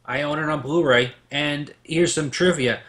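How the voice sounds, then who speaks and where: A man with a slightly high voice speaks as a panelist in a casual debate. He rambles through trivia and anecdotes, pushing his points with emphasis. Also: moderate pace, a bit bright. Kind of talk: casual pop-culture debate, trivia-sharing.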